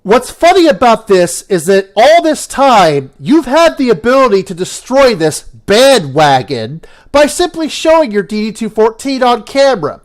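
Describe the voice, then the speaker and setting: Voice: mid-range voice, sing-song, measured pace, few pauses. A YouTube commentator with a congested, nasal voice from a cold, delivering scripted, sarcastic, profane commentary. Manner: sarcastic, profane, theatrical emphasis.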